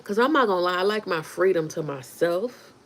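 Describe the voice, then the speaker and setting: A woman's voice: fairly low pitch, expressive, fairly quick, slight background noise. Chatty woman in her thirties. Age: 30s. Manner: chatty, answers viewer comments aloud. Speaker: livestream host. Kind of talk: casual livestream chat.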